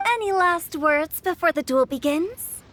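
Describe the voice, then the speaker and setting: A woman's voice: extremely high pitch, animated, a little slow, background noise. A woman playing a playful, theatrical young funeral director in a video game, with a teasing, mischievous delivery. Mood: playful challenge. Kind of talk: video game character acting. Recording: music behind.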